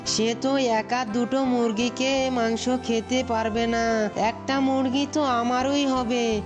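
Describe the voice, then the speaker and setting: A woman's voice: a little high, lightly acted. A woman voicing a lazy, boastful, sly fox in a cartoon, smug and wheedling. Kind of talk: cartoon character dialogue.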